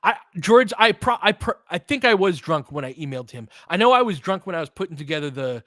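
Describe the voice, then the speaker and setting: A podcast host chatting casually with viewers, telling a rambling story full of fillers and restarts. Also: male voice, sits high, sing-song, fast talker, fairly bright tone, dry recording, studio quality. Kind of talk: casual livestream chat answering viewers.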